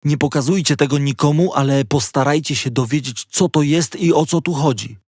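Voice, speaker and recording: A man's voice: a little high, brisk, no echo, clean studio sound, close to an everyday voice. Man as a secretive, conspiratorial young girl. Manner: hushed, conspiratorial. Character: secretive, insistent young girl confiding in boys.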